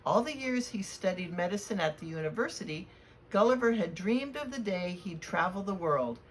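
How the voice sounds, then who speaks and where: A woman reading a storybook aloud to children in a deliberate, expressive way. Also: medium pitch, poor audio. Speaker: children's read-aloud reader at a library. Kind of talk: storybook read-aloud with spoken introduction. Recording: clear background noise.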